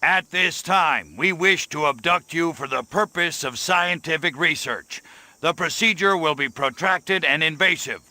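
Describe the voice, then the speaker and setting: A man's voice: fairly high pitch, measured pace, pushed and bright, dry recording. A man voicing an arrogant, irritable, trigger-happy little alien invader in a video game, with an imperious, sarcastic manner. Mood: haughty, formal.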